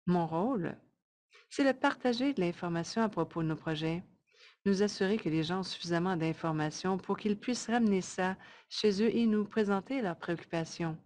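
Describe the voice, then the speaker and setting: A woman interpreting a webinar presentation live, in measured, halting short phrases. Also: lowish voice, a little mellow.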